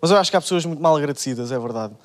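Young European Portuguese stand-up comic. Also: male voice, a little high, lively intonation, racing. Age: young adult. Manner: acts out quoted characters in shifted voices. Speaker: stand-up comedian. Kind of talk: stand-up routine. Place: TV studio stage. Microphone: handheld mic.

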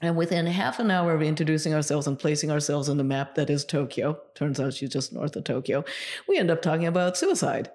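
A middle-aged woman, a native Japanese speaker from Japan, telling stories from her past in a relaxed interview, with many "yeah"s. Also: sits low, a little fast. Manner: reflective storyteller, lots of yeah fillers. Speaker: guest, sex educator. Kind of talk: casual interview conversation.

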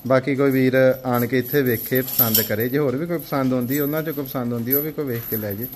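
A man with a Punjabi accent, walking through a dairy shed, asking a farmer about prices and pitching the buffaloes to viewers in a casual sales chat. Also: steady tone, darkish tone, slight background noise. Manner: brisk, asks prices then pitches to viewers. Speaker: livestock dealer showing buffaloes for sale. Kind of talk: casual on-site sales walkthrough.

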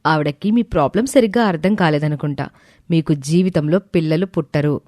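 A woman's voice: sits low, brisk, a little mellow, no echo, studio quality, lightly acted. A woman playing a blunt, matter-of-fact female gynecologist in an audio story. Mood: firm, blunt.

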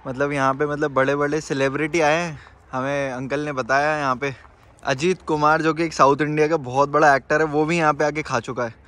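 An upbeat young man talks casually to his handheld camera and scatters fillers through his lines. His voice sits at an ordinary mid pitch. A clear hum of road and outdoor noise stays underneath. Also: a bit bright. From India. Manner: chatty, upbeat, leans on fillers like "matlab" and "yaar". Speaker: vlogger. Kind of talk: casual travel vlog chat. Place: inside a car on the highway and at an outdoor roadside eatery.

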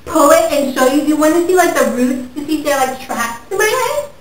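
A woman's voice: fairly high pitch, animated, a little slow. A young woman playing a ditzy, gossipy teen girl who is obsessed with the mall and easily offended, filling every phrase with "like," recorded from a distance in an echoey room. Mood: indignant. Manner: valley-girl filler talk, says "like" constantly. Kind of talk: comic character monologue. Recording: roomy echo, mic far off, faint hiss.

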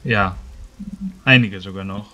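A man playing a careful, concerned scholar-healer in a tabletop game, speaking formally with measured hesitation. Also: sits low, sharp tone, heavily acted. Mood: grave.

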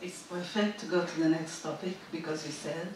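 A woman moderating a stage talk, hoarse with her voice nearly gone. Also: low-pitched, fairly even intonation, moderate pace, distant mic, background noise. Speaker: host and moderator. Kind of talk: stage conversation. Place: large theater stage with live audience. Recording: echoey stage, picked up from afar.

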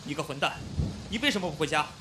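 A man playing a hot-tempered but caring young roommate in an audio drama, expressive and exclamatory. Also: fairly high pitch, fast talker, background noise, natural voice. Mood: upset, scolding. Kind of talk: Chinese audio drama acting.

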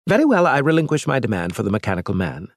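A man voicing a cunning, fussy alien male trader, raising his voice high and indignant. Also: big pitch swings, quick pace, dry recording, studio quality. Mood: wheedling.

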